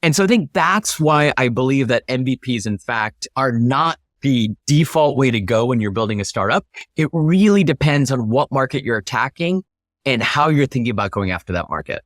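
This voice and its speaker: A man's voice: mid-range voice, animated, moderate pace, no echo, clean studio sound. A man speaking as an interview guest, explaining his ideas in an emphatic, instructive way. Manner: emphatic, instructive, illustrates points with acted-out example questions. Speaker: interview guest, startup founder.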